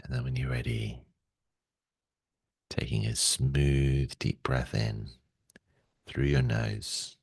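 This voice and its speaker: A man leading a guided meditation in a gentle, soothing way, speaking slowly and softly with long pauses. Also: very deep voice, fairly even intonation, gravelly, husky, no echo, clean studio sound.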